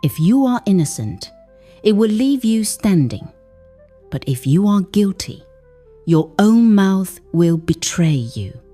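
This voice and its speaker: A woman's voice: very animated, slow, dark tone, faint music behind. Woman voicing a commanding, stern village king. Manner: booming, declamatory.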